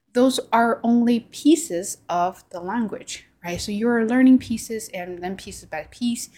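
A woman's voice: mid-range voice, darkish tone. Earnest Chinese-accented woman vlogging. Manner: earnest, frequent "like" and "right?", restarts. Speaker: language learning vlogger. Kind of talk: casual reflective monologue.

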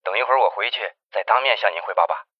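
A man voicing a male detective reporting urgently over a payphone, in a hurried, hushed way. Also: fairly low pitch, no room sound, close to an everyday voice. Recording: dull muffled phone line.

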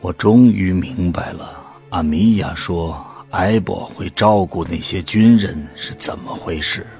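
A soldier narrating a science-fiction radio play. His voice is lowish, measured and sombre, with soft music underneath. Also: creaky. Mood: reflective. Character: sombre first-person soldier narrator of a sci-fi story. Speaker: narrator and protagonist. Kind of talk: scripted radio drama narration.

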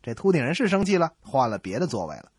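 A man hosting a comedy radio show, telling a scripted joke in an animated way and acting out the dialogue. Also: mid-range voice, quick pace, slightly rough, no room sound. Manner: animated, acts out quoted dialogue. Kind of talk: scripted comic storytelling, joke banter.

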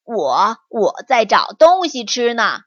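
A woman voicing a big, easily frightened male tiger in a children's story, speaking loudly and earnestly. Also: fairly high pitch, very animated, slow, no room sound, dull audio, heavily acted. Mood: plain.

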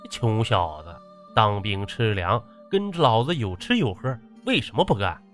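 A man's voice: on the low side, very animated, moderate pace, a bit bright, soft background music. A man voicing a short-tempered, lecherous battalion commander in an audiobook, swearing and barking his orders. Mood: angry, barking. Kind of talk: audiobook character acting.

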